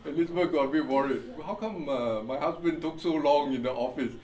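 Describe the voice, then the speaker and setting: A man with an Indian accent preaching a sermon as pastor. He reads scripture slowly and keeps checking in with "you see?" and "all right?", often chuckling. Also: a little high, darkish tone, rough recording. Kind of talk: sermon, Bible teaching.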